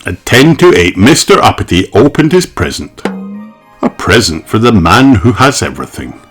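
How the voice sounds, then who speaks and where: A man reads a storybook for children in a deep voice at a leisurely pace. His delivery is highly animated, leaning theatrically on key words, with faint music underneath. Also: normal speed, breathy edge. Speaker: storybook reader. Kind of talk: expressive children's story read-aloud.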